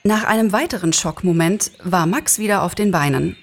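Low-voiced woman reading a story. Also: moderate pace. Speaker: narrator, actress. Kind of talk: expressive scripted story narration.

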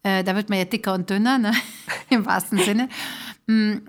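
A woman interview host with a middle-pitched voice. She talks informally, thinking through her questions and probing her guest. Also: steady tone, moderate pace. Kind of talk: conversational interviewing.

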